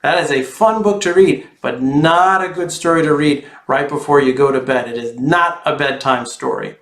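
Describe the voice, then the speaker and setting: A man's voice: a little high, normal speed, a bit bright. A man who is a children's author, reading a storybook expressively and talking directly to young viewers. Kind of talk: animated storybook read-aloud and friendly address to children. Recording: roomy, echoey sound.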